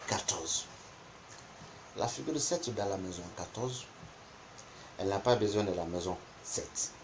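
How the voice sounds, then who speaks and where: A man whose first language is not French, teaching a spoken lesson on interpretation, deliberate and repeating points for emphasis. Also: fairly low pitch, a little hiss or hum. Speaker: geomancy teacher.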